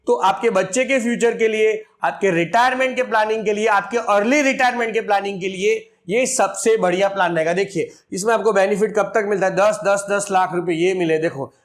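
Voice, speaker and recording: High-voiced man explaining money plans. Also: brisk, few pauses. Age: 40s. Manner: addresses viewers as friends, works through figures step by step. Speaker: financial advisor. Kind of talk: explaining an insurance plan to viewers.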